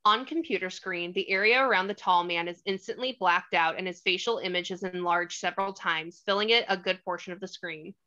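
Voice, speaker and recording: A woman's voice: lowish voice, brisk, hardly any pauses, pushed and bright. A woman reading stage directions as the narrator of a screenplay table read. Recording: slightly dull lo-fi audio.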